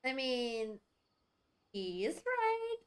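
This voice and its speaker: A woman giving casual live gameplay commentary, giggly and halting, often stumbling over and repeating her words. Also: sits high, big pitch swings, smooth. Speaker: game streamer.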